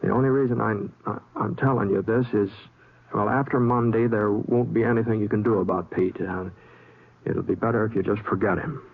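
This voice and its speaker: An actor playing an easygoing cowboy drifter with an American accent, in the folksy, hesitant style of old radio drama. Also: male voice, lowish voice, fairly even intonation, brisk, breathy edge. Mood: gentle, reluctant. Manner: folksy, hesitant, plain-spoken drawl. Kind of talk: 1950s radio drama acting and first-person narration. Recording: scratchy, tinny, noisy old radio recording.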